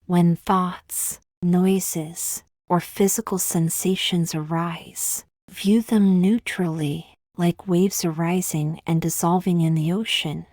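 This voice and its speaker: A woman reading a scripted guided meditation in slow, gentle, measured phrases with long pauses. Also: on the low side, steady tone, dry recording, clean studio sound.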